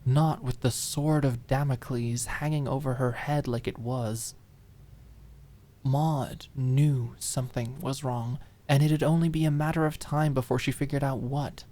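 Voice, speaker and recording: Low-voiced woman narrating a dramatic audiobook. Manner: expressive, deliberate, lingering on key phrases.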